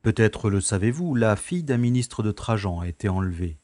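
A man's voice: on the low side, lively intonation, fairly quick, a little mellow, close to an everyday voice. A man acting a dogged, theatrical space detective in a French audio drama, with a dramatic, questioning manner. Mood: insistent, suspicious. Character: dogged, theatrical male space special agent.

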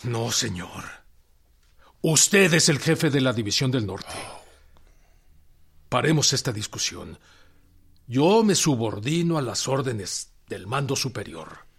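An actor with a Mexican accent playing an earnest army general and strategist in a radio drama, formal and insistent, with a husky voice. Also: male voice, medium pitch, very animated, slow pace, pauses often, fairly bright tone, heavily acted. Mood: firm, resigned.

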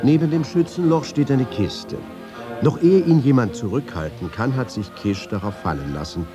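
A man's voice: medium pitch, animated, moderate pace. A man giving a dramatic scripted reading for a radio feature. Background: music.

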